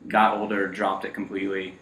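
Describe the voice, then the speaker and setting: A loud, upbeat man in his thirties chats as a podcast guest, his bright, low voice staying fairly flat in pitch. He leans on fillers, and the sound carries a roomy echo. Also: a little fast, a little hiss or hum. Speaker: guest, art teacher. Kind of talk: casual chat.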